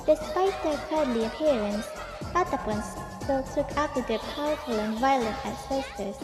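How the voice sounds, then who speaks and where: High-voiced woman narrator in deep reverb. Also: moderate pace. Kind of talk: scripted storybook narration of a fantasy legend. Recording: echoey long reverb, music behind. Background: music.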